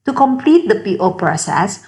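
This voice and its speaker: Female tutorial narrator, medium pitch, scripted instructional read, measured and explanatory. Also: sing-song, creaky, warm tone.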